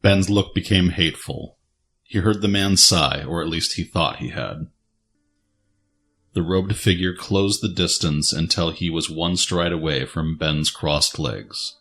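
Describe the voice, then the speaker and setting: A man's voice: sits low, a little slow, pushed and bright, slight background noise. A man narrating a scripted dramatic story as its narrator.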